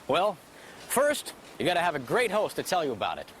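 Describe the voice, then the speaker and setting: A man's voice: a little high, wildly swooping pitch, a little fast, fairly bright tone, slight background noise. A man hosting with jokes and self-mocking asides. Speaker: host. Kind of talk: comic TV hosting.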